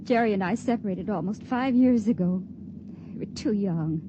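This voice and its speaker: An actress playing a frightened, wistful young female stowaway in a 1950s radio drama, with earnest, halting, emotional phrasing. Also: mid-range voice, lightly acted. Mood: wistful, subdued. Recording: muffled, noisy old radio recording.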